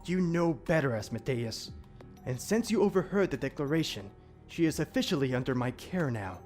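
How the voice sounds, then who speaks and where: A man's voice: a little high, lively intonation, moderate pace, a little mellow, faint music behind, lightly acted. Stiff, solemn demon guardian played by a man. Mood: stern threat. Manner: stiff, archaic, formal diction. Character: stern, formal male demon guardian, solemn and protective. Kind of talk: audio drama acting.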